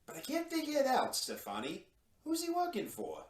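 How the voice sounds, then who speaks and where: A man's voice: very high-pitched, wildly swooping pitch, moderate pace, far from the mic, full character voice. An actor playing a nervous, crooked male secretary in an old-time radio drama, stammering and colloquial, in a roomy space. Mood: nervous, urgent.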